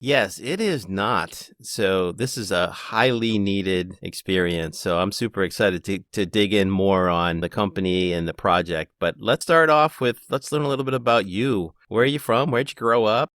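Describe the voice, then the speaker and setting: Male podcast host, low-pitched, upbeat questions, dry booth sound. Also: moderate pace, hardly any pauses, fairly bright tone, dry recording. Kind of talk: podcast interview.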